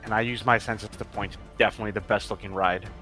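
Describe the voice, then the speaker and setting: A man's voice: fairly low pitch, fairly even intonation, quick pace, pushed and bright, soft background music. A man bantering casually in character as a player in a tabletop roleplaying game.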